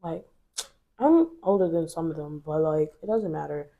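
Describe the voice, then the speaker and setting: A woman's voice: low voice, normal speed, creaky, warm tone, dull audio. A woman in her twenties, stuffy from a cold, telling a personal story to her viewers in a chatty, candid way full of fillers. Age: 20s. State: congested from a cold. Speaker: vlogger. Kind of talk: casual storytelling to camera.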